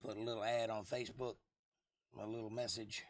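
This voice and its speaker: Hoarse man chatting on a livestream. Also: lowish voice, flat delivery, a little fast, no room sound, studio quality. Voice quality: hoarse, froggy. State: lost voice for months, throat clearing. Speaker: livestream host. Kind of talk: casual livestream chat, replying to viewers.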